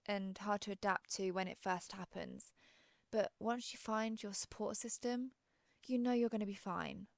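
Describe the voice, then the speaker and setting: A woman acts as a genteel female vampire with a gravelly fry in her voice, recorded close and dry. She is an ancient but friendly creature, chatting in a polite, gently wry way in an audio roleplay. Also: mid-range voice, no echo, natural voice. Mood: fond, proud. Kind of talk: scripted roleplay audio, one side of an interview.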